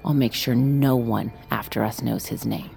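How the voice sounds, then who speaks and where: Vengeful grieving woman, very deep voice, cool and deliberate, audio drama, light music behind. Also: fairly even intonation, vocal fry, warm tone, heavily acted. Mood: cold resolve. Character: bitter, grieving woman bent on erasing a man's legacy. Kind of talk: scripted audio drama acting.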